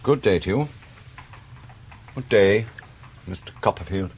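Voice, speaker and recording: A stern English gentleman of the Victorian age in a radio play. His voice sits low with a husky edge. He speaks in measured, formal phrases, full of cold disdain and quiet menace. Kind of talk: radio drama acting of a Victorian novel.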